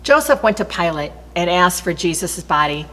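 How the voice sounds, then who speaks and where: Low-voiced woman reading scripture in reverberant hall. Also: sing-song, moderate pace, a bit bright. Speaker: scripture reader. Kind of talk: expressive read-aloud Bible narration. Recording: long reverberant hall echo.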